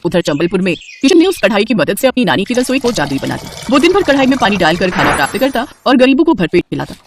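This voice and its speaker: Narrator for a children's fable: fast, expressive delivery, dry studio-like sound. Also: medium pitch, no echo. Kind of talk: scripted children's moral story narration.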